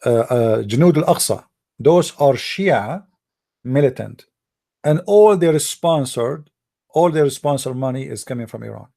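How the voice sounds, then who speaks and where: Heated Arabic-speaking man, soft and mellow. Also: mid-range voice, lively intonation, vocal fry. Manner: halting, searching for words, many fillers; heated, blunt opinions. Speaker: commentator. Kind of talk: casual monologue.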